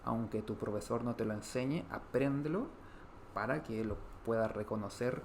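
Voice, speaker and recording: A man from Chile with a Chilean Spanish accent, explaining grammar in an online language lesson, pausing often on "eh" and restarting phrases. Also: mid-range voice, darkish tone, a little hiss or hum. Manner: explanatory, frequent "eh" fillers, repeats and restarts. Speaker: Spanish teacher.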